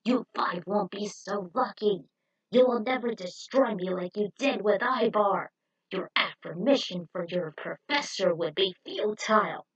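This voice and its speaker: A woman's voice: medium pitch, breathy edge. Woman acting a gloating monster villain, dull recording. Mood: smug, taunting. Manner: drawn-out taunting, declamatory. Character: gloating, theatrical monster villain of an air fortress.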